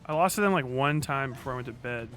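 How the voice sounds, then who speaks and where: A man's voice: mid-range voice, expressive, quick pace, a bit bright, soft background music. A man chatting casually on a livestream as he plays a video game, rambling in slangy, profane language. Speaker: live streamer.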